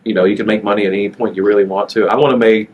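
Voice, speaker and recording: A nineteen-year-old man talking as a guest on a podcast, relaxed, often pausing with fillers and restarting his sentences. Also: fairly low pitch, a little hiss or hum. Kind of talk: casual interview chat.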